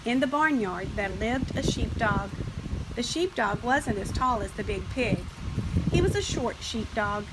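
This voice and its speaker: A woman reading a children's picture book as scripted narration, with expressive, deliberate phrasing. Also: fairly high pitch, very noisy. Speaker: storybook reader.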